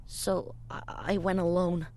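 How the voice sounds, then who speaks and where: A woman's voice: lowish voice, level tone, a little slow, warm tone, natural voice. Lady doing a shy little kid in a game, eager and earnest, kinda hesitant. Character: eager, shy young child survivor with a secret. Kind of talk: dramatic video game acting.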